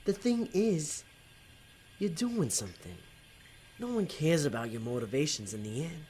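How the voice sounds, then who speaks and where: A man's voice: on the high side, big pitch swings, measured pace, warm tone, slight background noise, poor audio. A man playing a conflicted, working-class demon tempter in an audio drama, talking in a colloquial, hesitant way. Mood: regretful.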